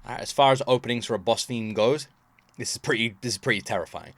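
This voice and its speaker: A man hosting a reaction video, talking in a casual, chatty and emphatic way with excitable commentary. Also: medium pitch, quick pace, very noisy. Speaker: reaction channel host.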